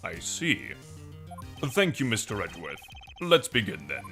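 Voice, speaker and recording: A man acts out a solemn, authoritative judge from a courtroom video game. His mid-pitched voice is forceful and very varied in intonation, formal and deliberate, with music behind. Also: huge pitch swings, heavily acted. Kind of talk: game fandub character acting.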